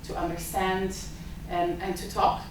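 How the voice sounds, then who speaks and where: A woman's voice: medium pitch, monotone, a little slow, background noise. Hesitant Italian-native woman in echoey room. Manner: hesitant, many filler sounds. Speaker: symposium host and lab director. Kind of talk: opening remarks at an academic event. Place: university seminar room. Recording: echoey lecture room, mic far off.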